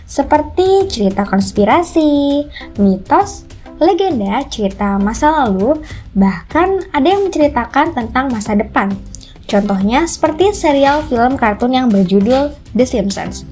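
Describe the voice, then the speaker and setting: A woman's voice: sits high, lively intonation, measured pace, a little mellow. A woman reading a scripted explainer narration as the narrator of a video channel. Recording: music bed under the voice. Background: background music.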